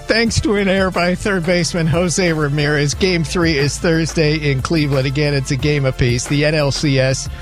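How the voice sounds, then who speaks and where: A man delivering a radio sports news roundup, reading scores briskly and adding wry asides. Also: a little high. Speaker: sports update anchor.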